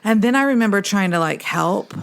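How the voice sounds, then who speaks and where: A woman co-hosting a podcast in casual conversation, chatty and quick to cut in with her side. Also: mid-range voice, expressive, vocal fry. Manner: chatty, interjecting, quick to respond. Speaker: podcast co-host. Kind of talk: personal conversation.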